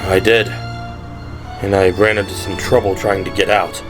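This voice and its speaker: A man's voice: low-pitched, flat delivery, moderate pace, voice pushed down, lightly acted. Guy doing a rugged veteran cop in an audio drama, pushy and persuasive, music behind him. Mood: grim. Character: rugged, bearded, persuasive male police veteran.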